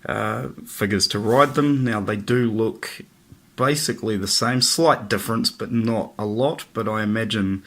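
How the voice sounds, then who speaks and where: A man's voice: fairly low pitch, a bit bright. A man with a head cold, chatting easygoing through a hobby product review with many ums. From New Zealand.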